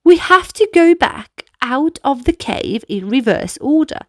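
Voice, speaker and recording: A woman reading a children's storybook aloud in an animated, deliberate way, speaking directly to young listeners and guiding them to move along. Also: high voice, no room sound, studio quality. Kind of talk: expressive read-aloud narration with movement prompts for young children.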